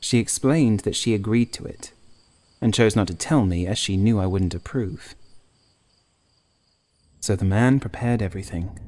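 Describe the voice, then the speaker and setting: A man reading a scripted first-person horror story as an audiobook narrator, in a measured, literary way. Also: on the low side, dark tone, a little hiss or hum.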